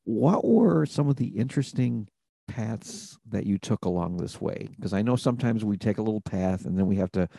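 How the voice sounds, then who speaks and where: Man, podcast host, earnest and reflective, husky, gravelly. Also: on the low side, lively intonation, moderate pace, mellow, dry recording. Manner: earnest, reflective, speaks of faith and purpose. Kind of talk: conversational interview.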